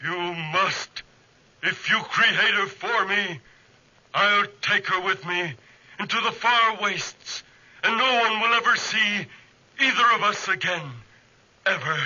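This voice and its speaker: A lonely man-made monster in a dull, lo-fi 1940s radio drama. The voice is fairly high and very forceful. The delivery drags deliberately, theatrical, pleading and menacing. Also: heavily acted. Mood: insistent.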